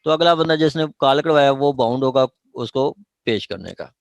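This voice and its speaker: A man with a Pakistani accent explaining law as a lecturer, haltingly repeating and rephrasing his points and checking that students follow. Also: mid-range voice, lively intonation, vocal fry, dry recording, muffled recording.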